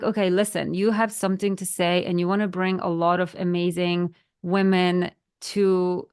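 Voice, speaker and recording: A woman's voice: medium pitch, clean tone. A woman in her thirties from Poland with a Polish accent, co-hosting a podcast chat and talking earnestly, sometimes searching for words. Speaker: podcast co-host. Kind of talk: casual chat.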